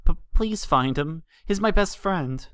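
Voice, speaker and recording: A man's voice: on the high side, animated, measured pace, a bit bright, clear, resonant tone, dry recording, studio quality, pitched above the performer's own voice. A man voicing a frightened little boy pony in a storybook, stammering, breathless and pleading.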